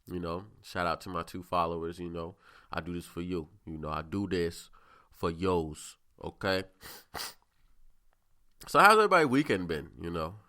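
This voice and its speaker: Deep-voiced congested young man. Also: lively intonation, moderate pace, bright, forceful tone. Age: 20s. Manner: loose, rambling and chatty, with frequent asides. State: congested, sniffling. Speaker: podcast host. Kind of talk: casual solo podcast chat.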